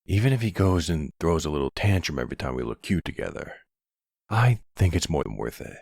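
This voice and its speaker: A man playing a smug, flirtatious middle-aged mafia boss in an ASMR roleplay drama, sweet-talking and gravelly. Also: extremely low pitch, sing-song, fairly quick, darkish tone, no room sound, clean studio sound, full character voice. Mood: tender, flirtatious.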